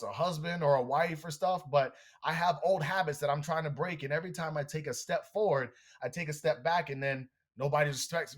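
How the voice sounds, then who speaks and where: A man with a slightly high voice gives an upbeat motivational talk as a podcast guest. He tells stories with lots of energy and speaks straight to his listeners. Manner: animated, energetic, addresses listeners directly.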